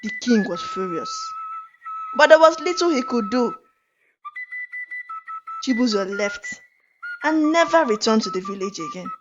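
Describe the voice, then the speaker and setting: A woman telling a folktale in an expressive, deliberate, dramatic narration. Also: sits high. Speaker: storyteller. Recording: dull lo-fi audio under music. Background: clear flute music.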